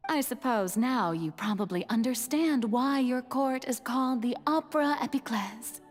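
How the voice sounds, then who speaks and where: An actress voicing an ancient, wistful water goddess in a video game, speaking with theatrical, eloquent poise and a soft tone. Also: female voice, on the high side, natural voice. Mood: measured, explanatory. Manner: theatrical, measured, eloquent delivery. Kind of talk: video game dramatic monologue. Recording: slight hall reverb, music behind.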